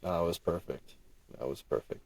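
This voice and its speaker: Mellow, low-voiced man, effusive podcast guest. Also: normal speed, dry recording. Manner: effusive, repeats himself. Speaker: podcast guest, audio drama creator. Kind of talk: casual chat.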